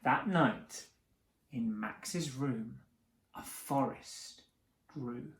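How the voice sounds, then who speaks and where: A man hosting a picture book reading, narrating theatrically for children with dramatic pauses. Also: mid-range voice, a bit bright. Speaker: host reading picture books aloud. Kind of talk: animated children's story narration. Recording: roomy, echoing sound.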